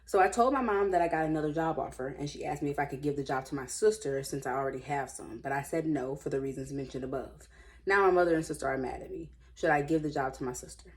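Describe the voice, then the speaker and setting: A woman reading aloud and commenting casually, with an informal, opinionated, slangy way of musing out loud. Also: deep voice, fast talker. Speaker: host reading and commenting on online stories.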